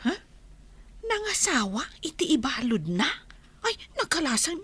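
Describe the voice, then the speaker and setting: Female actor as comic servile maid, high bright voice, slow hesitant delivery, radio comedy drama. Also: very animated, full character voice. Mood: flustered. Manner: hesitant, flustered. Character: timid, muttering housemaid, comic and servile to her boss.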